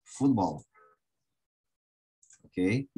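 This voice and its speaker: Slow, low-pitched man teaching. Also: expressive, dark tone, husky. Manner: halting, with long hesitations. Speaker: English teacher. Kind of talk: online group lesson, asking pupils questions. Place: online video call.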